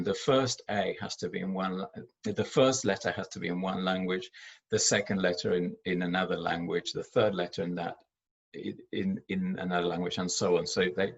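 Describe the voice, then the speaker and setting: Low husky argentinian guy, spanish first language, ex head teacher, lots of ums, muffled audio. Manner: hesitant, many fillers and restarts. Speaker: former head teacher, education speaker. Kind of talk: presentation talk on teaching.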